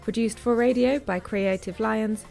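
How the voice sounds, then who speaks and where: A woman's voice: on the high side, steady tone, a little slow, creaky, a little mellow, faint music behind. A woman announcer giving a scripted reading of radio play credits.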